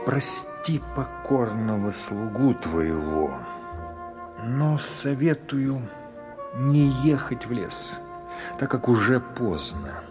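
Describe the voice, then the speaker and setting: A man's voice: medium pitch, sing-song, slow pace, over music. A man playing a cautious, deferential ageing vizier, pleading and obsequious in a fairy-tale audio play. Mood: humble, cautious.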